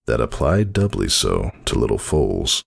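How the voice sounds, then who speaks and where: Male story narrator, bottomless bass with a creaky edge, dramatic scripted reading. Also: sing-song, a little slow.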